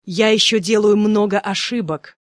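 A speaker reading short phrasebook sentences slowly and clearly for language learners. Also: mid-range voice, no room sound, studio quality. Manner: slow, clearly spaced repetitions. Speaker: phrasebook reader.

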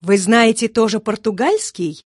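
A speaker reading short phrasebook sentences slowly and clearly for language learners. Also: mid-range voice, lively intonation, no room sound, studio quality. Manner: slow, clearly spaced repetitions. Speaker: phrasebook reader.

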